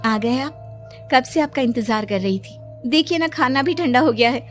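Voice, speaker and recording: A woman voicing a sweetly insistent, unsettling wife in a horror cartoon story, with a deliberate, uncanny manner. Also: high voice, lively intonation, faint music behind.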